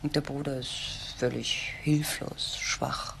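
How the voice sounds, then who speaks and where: An actress playing an earnest, idealistic social worker in a crime radio drama, protective and insistent. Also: female voice, very deep voice, fairly even intonation, slow, vocal fry, background noise, heavily acted. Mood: hushed, grave.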